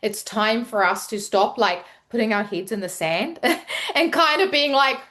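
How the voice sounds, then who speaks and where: A woman's voice: fairly high pitch, expressive, unhurried, bright, forceful tone. A woman co-hosting a casual group talk, speaking in an encouraging, upbeat way with many fillers. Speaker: co-host educator. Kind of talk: casual motivational chat.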